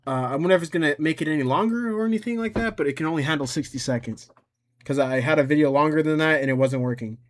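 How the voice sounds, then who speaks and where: A man presenting an informal step-by-step software tutorial, rambling a little and thinking out loud as he goes. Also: on the high side, quick pace, a little hiss or hum. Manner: informal, rambling, thinks aloud and talks to himself. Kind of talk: casual screen-recorded software tutorial.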